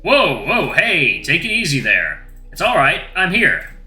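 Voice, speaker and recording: A performer voicing a huge, friendly male wolf giant who talks in a calm, soothing, lightly teasing way. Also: mid-range voice, a little slow, echoey room, soft background music, pitched above the performer's own voice, heavily acted.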